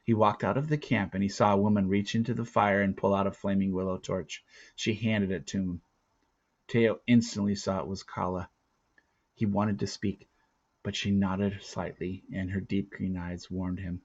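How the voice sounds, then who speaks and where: A man reading a storybook aloud as a dramatic narrator, with deliberate, theatrical phrasing and a casual touch. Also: low voice, flat delivery, highs cut off. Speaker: storybook reader.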